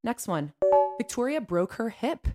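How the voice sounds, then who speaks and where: A woman's voice: fairly high pitch, sing-song, measured pace, darkish tone, soft background music. A woman co-hosting a casual chat with a friend, telling teasing anecdotes and acting out the quotes. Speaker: podcast co-host.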